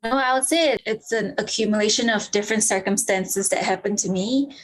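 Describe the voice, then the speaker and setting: A woman's voice: medium pitch, brisk, rough recording. A young woman from Singapore, telling her story fluently and eagerly as an interview guest on a remote call. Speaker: guest, travel blogger.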